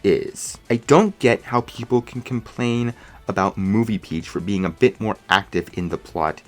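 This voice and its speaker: A man narrating a scripted, opinionated video review in an emphatic, sarcastic, ranting manner. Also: medium pitch.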